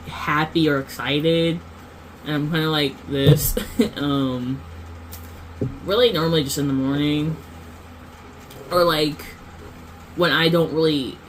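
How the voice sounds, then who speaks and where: A woman's voice: low-pitched, fairly bright tone, a little hiss or hum, low-quality recording. A woman vlogger rambling through a casual monologue, full of fillers and self-corrections.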